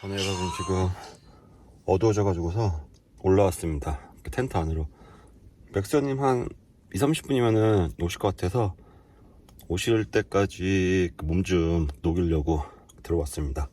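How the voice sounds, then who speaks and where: A man's voice: low-pitched, normal speed, mellow. A man talking casually and politely to viewers as a camping vlogger, recorded at a winter campsite. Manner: polite, chatty, addressing the audience. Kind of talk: casual narration to viewers while camping alone. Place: winter campsite, outdoors and in a tent. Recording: clear outdoor ambient noise.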